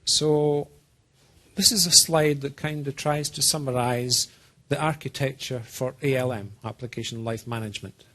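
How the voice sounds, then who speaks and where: A man from Scotland with a mixed Scottish and American accent gives an informal technical presentation, speaking deliberately and haltingly as he checks in with his listeners. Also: mid-range voice, fairly bright tone. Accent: Scottish-American mix. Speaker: software program manager giving a presentation. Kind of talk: informal technical talk to a small audience.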